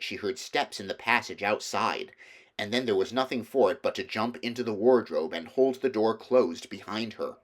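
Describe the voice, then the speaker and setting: A man's voice: lowish voice, a bit bright. A man reading a children's novel aloud as scripted storybook narration. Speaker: audiobook reader.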